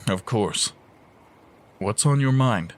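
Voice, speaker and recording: An actor playing a calm, wise, noble lord in a fantasy audio drama, measured and reassuring. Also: male voice, fairly low pitch.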